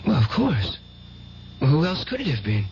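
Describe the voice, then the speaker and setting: Intense young psychic, animated pitch swings. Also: male voice, measured pace, heavily acted. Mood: fervent, defensive. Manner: fervent, earnest, agitated. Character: intense, devout, anguished young male psychic. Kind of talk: radio drama acting. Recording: dull, noisy old radio broadcast.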